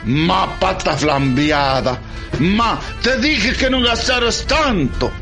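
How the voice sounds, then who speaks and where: A man with an Argentine accent playing an excitable, grumbling family father who exclaims often in a radio play. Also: high-pitched, slow, creaky, full character voice. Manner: exclamatory, punctuating with "ma". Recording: music behind the voice.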